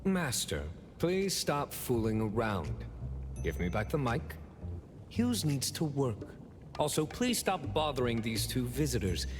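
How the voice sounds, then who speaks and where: An actor playing a fussy, long-suffering perfectionist butler in a video game, formal and prissy, referring to himself by name. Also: male voice, medium pitch, very animated, unhurried. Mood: exasperated, polite. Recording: long hall reverb, clear music behind.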